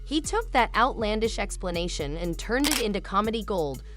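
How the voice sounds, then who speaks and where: A woman delivers scripted video narration with a lively, swooping intonation. Her pitch sits in the middle for a woman, and music plays softly underneath. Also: normal speed.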